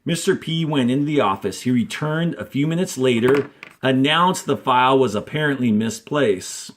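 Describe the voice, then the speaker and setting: A man reading a story aloud as a teacher, deliberately, with instructional asides to those following along. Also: mid-range voice. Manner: deliberate, explains punctuation and page cues as he reads. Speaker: fourth grade teacher reading aloud to a class.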